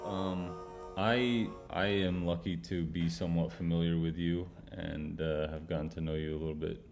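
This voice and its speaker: A young man hosting an interview, asking questions in a halting way with many fillers. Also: deep voice, little pitch movement, vocal fry, darkish tone, faint music behind. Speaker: medical student host. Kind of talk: interview host.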